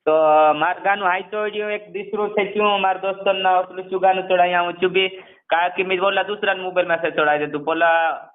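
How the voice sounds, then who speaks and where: A man's voice: sits high, flat delivery, fast talker. A man in a casual phone conversation, explaining things at length and repeating himself, on a narrowband call recording.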